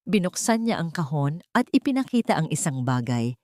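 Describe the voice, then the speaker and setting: Female Filipino storyteller, lower register, dramatic scripted narration, close dry studio sound. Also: very animated, fairly quick, dark tone, no room sound. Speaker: narrator of audio love stories.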